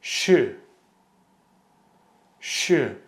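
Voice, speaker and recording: Turkish-accented man teaching pronunciation. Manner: clear, deliberate, slows to model pronunciation. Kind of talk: language lesson to camera.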